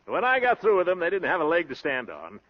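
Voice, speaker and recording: An actor playing a blustering, self-important married show-off with flowery, pompous speech in a 1930s radio comedy-drama. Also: male voice, fairly high pitch, wildly swooping pitch, fast. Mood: boastful. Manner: pompous, flowery wording, stalling and chuckling. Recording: dull old 1930s radio recording.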